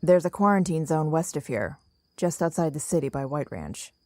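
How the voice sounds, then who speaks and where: A low, dry-recorded voice of a young woman survivor played by an actress in an audio drama. She is calm, guarded and commanding, speaking deliberately and with cool authority. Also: no echo. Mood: businesslike.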